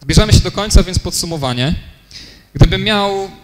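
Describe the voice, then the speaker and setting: A man's voice: high voice, normal speed. A young man gives a talk at a technical conference. He explains step by step, with "e" fillers and restarts, in a slightly raised voice. The hall rings with long reverb and a faint hiss sits underneath. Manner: fillers and self-corrections, explanatory. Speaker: conference speaker. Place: conference room, lecture hall.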